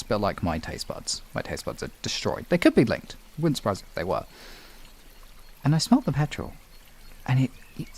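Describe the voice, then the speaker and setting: A man with a British accent hosting a livestream, chatting casually and rambling informally with some swearing. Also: mid-range voice. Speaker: livestream host. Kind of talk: casual chat with stream viewers.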